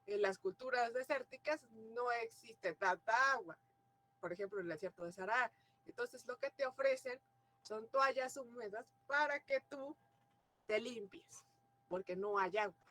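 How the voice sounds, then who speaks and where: A woman's voice: fairly high pitch, very animated, measured pace, pushed and bright. A Mexican woman teaching a class, explaining ideas and often checking in with her students through questions. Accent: Mexican Spanish. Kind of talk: classroom lecture.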